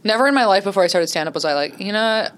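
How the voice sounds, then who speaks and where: Deep-voiced woman, dry casual chat. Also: expressive, rapid-fire. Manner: dry, laughing, hesitant restarts. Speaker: comedian guest.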